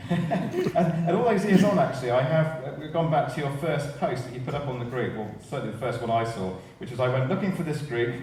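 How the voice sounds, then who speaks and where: A man's voice: mid-range voice, fairly quick, hardly any pauses, a little mellow. A male radio host chats jovially and wanders off into asides as he interviews guests on stage. He sounds distant from the mic in a very reverberant theatre, with faint noise behind him. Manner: chatty, digressive, jovial. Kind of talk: live presenting and interviewing before an audience. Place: large radio theatre with live audience.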